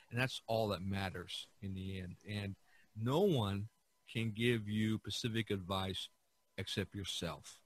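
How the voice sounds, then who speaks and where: Podcast host guy, kinda low voice, rambly and emphatic, just chatting. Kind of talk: casual podcast discussion.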